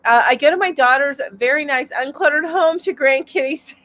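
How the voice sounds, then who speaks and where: A chatty middle-aged woman hosting a live stream from home, her voice sitting a bit high. She rambles along with fillers and little laughs as she reads out comments. She sounds a touch stuffy from allergies. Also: normal speed, a bit bright. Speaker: host of a weekly live chat. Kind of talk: casual live-stream chat reading viewer comments. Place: home, mountain area.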